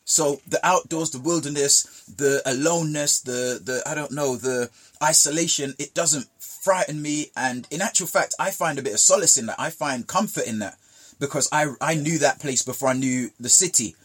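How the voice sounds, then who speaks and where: A man's voice: a little high, moderate pace, fairly bright tone, slightly rough. A man from Jamaica telling childhood stories in casual conversation, with emphatic repetition. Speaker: guest.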